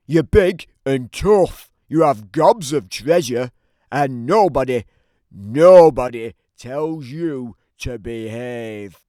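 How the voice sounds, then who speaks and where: A man's voice: fairly high pitch, darkish tone, dry recording, clean studio sound. Man voicing a grumpy storybook giant, swooping delivery. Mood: aggrieved, grumbling. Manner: theatrical, emphatic storybook delivery. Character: grumpy, aggrieved, always-hungry big giant telling his side. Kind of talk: scripted children's storybook narration.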